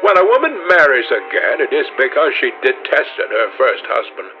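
A man voicing a witty, cynical aristocratic gentleman who delivers epigrams in a drawling manner for a storybook. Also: mid-range voice, very animated, normal speed, muffled recording, soft background music, voice pushed up, natural voice. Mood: cynical, teasing.

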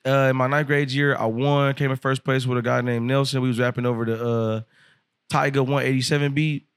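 A young man in his twenties tells a long story in a loose, animated, profane way, laughing often as he goes. His voice sits at a middle pitch. It is recorded close and dry in a studio. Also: brisk, clean tone, dry recording. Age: 20s. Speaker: rapper guest. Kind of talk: casual podcast storytelling. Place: podcast studio.

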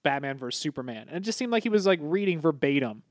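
Rambling young man, slightly high voice. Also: big pitch swings, fast, no echo, studio quality. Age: young adult. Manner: rambling storyteller, swears casually. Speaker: podcast co-host. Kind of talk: casual chat.

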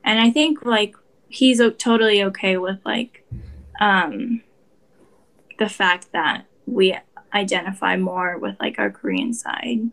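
A woman's voice: mid-range voice, lively intonation, slow, a bit bright, low-quality recording. A young woman answering interview questions about her heritage, speaking casually and filling her sentences with "like". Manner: casual, heavy use of "like". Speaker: interview guest, daughter.

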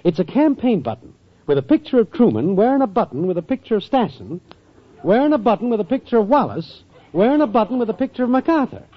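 A man's voice: high voice, very animated, quick pace. A man playing a scheming small-time hustler boyfriend with cocky, streetwise patter in a muffled 1940s radio sitcom. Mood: cocky, enthusiastic. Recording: muffled old radio with faint noise.

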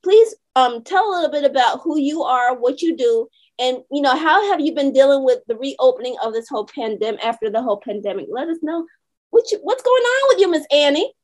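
A woman's voice: high voice, animated, a little fast. A woman hosting an interview show, upbeat and welcoming toward her guest. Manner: upbeat, welcoming, uses fillers. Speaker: podcast host.

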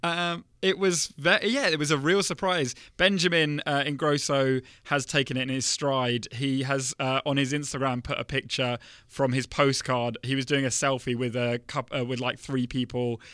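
A man co-hosting a podcast in an upbeat, casual way, laughing easily and filling pauses with "uh" and "um". His voice is bright and crisp. The sound is close and dry, with no room around it. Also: medium pitch, level tone, no echo. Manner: upbeat, laughs often, many fillers. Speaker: podcast co-host. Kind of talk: casual podcast chat, reading listener emails.

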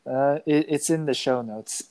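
A man's voice: medium pitch, unhurried, a little mellow. A young man co-hosting a casual podcast, with a dry, joking manner. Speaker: podcast co-host. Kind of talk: casual chat.

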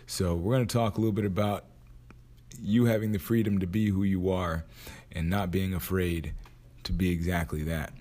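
A man hosting his own podcast, hoarse after a night out, talking casually and thinking aloud with frequent restarts. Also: sits low, steady tone, normal speed, mellow. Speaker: podcast host. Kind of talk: casual motivational monologue.

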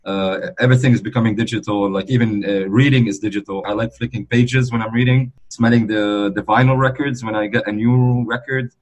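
A man from Lebanon in his forties with a slightly low, husky voice. He talks loosely and warmly in an interview, leaning on "like" and "you know" as he goes. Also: fairly even intonation, fairly quick, hardly any pauses. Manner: easygoing, rambling, frequent "like" and "you know". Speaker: guest DJ. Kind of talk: relaxed conversational interview.

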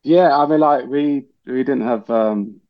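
Relaxed English man with an Aussie twang. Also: mid-range voice, unhurried, dry recording, lo-fi sound. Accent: English with some Australian twang. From England. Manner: relaxed, rambling, many fillers, laughs easily. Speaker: chef, podcast guest. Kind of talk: casual interview chat.

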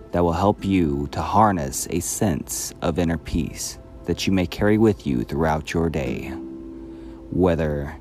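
A man reading a scripted guided meditation in a calm, measured, soothing manner. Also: deep voice.

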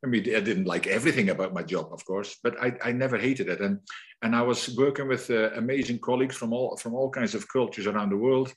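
A man's voice: medium pitch, fast, slight background noise. Reflective Dutch-accented man. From Netherlands. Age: middle-aged. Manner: reflective, with frequent restarts. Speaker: guest. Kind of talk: conversational interview.

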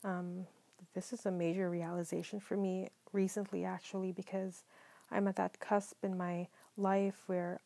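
A woman from the Philippines hosting a solo podcast, talking in a calm, mellow way, partly whispered, with many ums. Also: on the low side, measured pace, creaky, no echo. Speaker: podcast host. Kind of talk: soft-spoken solo podcast monologue.

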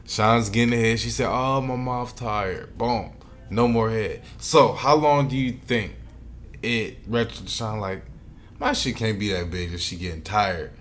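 A man's voice: fairly low pitch, a little slow, a bit bright, faint music behind. A man with an American accent co-hosting a podcast in casual, profane, slangy banter. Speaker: podcast co-host.

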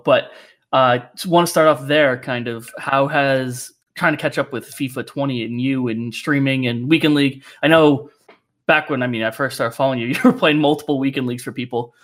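A man's voice: mid-range voice, a bit bright. Easygoing young male podcast host. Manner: easygoing, filler-heavy. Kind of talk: casual podcast chat with read sponsor plug.

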